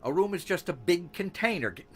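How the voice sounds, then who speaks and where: A man playing a smoothly bureaucratic, unflappable boss in an audio drama, measured and matter-of-fact. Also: high voice, animated, a bit bright, full character voice. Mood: calm, matter-of-fact. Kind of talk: noir audio drama acting. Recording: music bed under voice.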